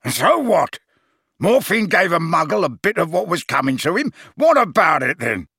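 A man's voice: on the high side, big pitch swings, measured pace, gravelly, bright, forceful tone, husky, no room sound, clean studio sound, heavily acted. A man voicing a vicious old wizard father in an audiobook, bellowing and jeering with contempt. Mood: snapping, scornful. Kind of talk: dramatised audiobook character voice.

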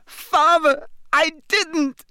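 A man's voice: extremely high pitch, animated, drawn-out words, pushed and bright, dry recording, voice pushed up, full character voice. A man reading aloud voices a terrified teenage boy who shrieks and pleads through tears. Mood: screaming. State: crying. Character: terrified teenage boy begging his father.

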